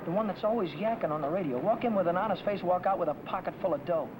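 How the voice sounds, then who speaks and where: Actor as hard-pressed young American mechanic, slangy and clipped, higher-set male voice, vintage noir film with clear noise. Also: fast, natural voice. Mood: worried, brooding. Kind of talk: 1950 film noir acting and voice-over. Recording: noisy old film soundtrack.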